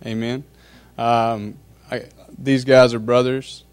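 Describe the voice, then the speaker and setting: A man's voice: medium pitch, little pitch movement, slow, smooth. A man from North Carolina, giving a short, upbeat personal testimony in a plain way.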